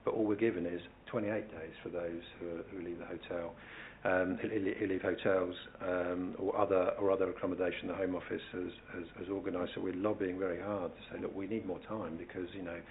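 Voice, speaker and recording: Low, monotone man with gravelly creak. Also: brisk, phone-quality sound, slight background noise. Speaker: council officer answering questions at a committee meeting. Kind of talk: formal spoken answers at a public meeting. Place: indoor council committee meeting.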